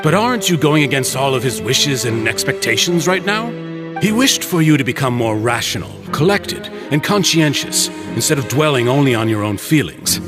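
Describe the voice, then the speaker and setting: A man's voice: medium pitch, natural voice. Man as condescending, theatrical middle-aged businessman villain. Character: smooth-talking older businessman villain, patronizing, feigned fatherly hurt. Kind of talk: dramatic game cutscene acting.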